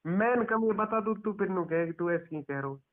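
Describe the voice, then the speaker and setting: A man's voice: sits high, a little fast. A man with a Mewati accent having a casual, teasing chat over a telephone. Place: phone call. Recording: muffled telephone call recording.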